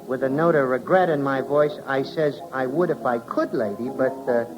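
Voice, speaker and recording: A man's voice: mid-range voice, normal speed, lo-fi sound, over music, natural voice. A man playing a friendly, gabby café bartender in an old radio drama, earnest and naive, with folksy, ungrammatical slang. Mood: defensive, gabby. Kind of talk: 1940s radio drama acting. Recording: old radio broadcast recording.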